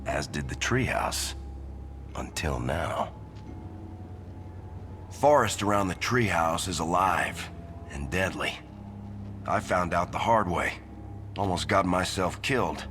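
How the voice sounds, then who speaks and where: An actor playing a weathered, middle-aged island occult investigator in a video game, speaking in a folksy, reflective way with phrases trailing into a breathy murmur. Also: male voice, deep voice, lively intonation, unhurried, long pauses, a bit bright, a little hiss or hum. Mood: ominous storytelling. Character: weathered, wistful small-island occult investigator, an older man. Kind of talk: video game character monologue. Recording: music playing behind the voice.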